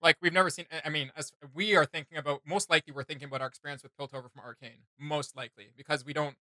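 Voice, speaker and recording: A young man talks very quickly in a sharp, forward voice. He rambles enthusiastically through a casual reaction, recorded dry in a home studio. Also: a little high, fairly even intonation, dry recording. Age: young adult. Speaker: reaction video host. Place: small home studio room.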